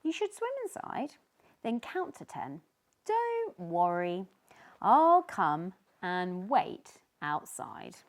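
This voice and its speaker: Bossy, helpful tiny storybook fish, played by a woman: encouraging, highly animated delivery. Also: slow pace, full character voice. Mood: coaxing. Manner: encouraging, coaxing. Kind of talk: children's storybook character voice.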